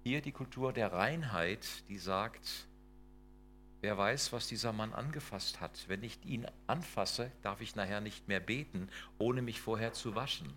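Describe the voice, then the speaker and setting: A middle-aged man lectures from slides in a lowish voice, pressing his points with rhetorical questions. He tags his sentences with quick checks to the audience. A faint hum sits under the recording. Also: a bit bright. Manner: emphatic, rhetorical questions, tag questions like "ne?" and "ja?". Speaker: lecturer on intercultural work.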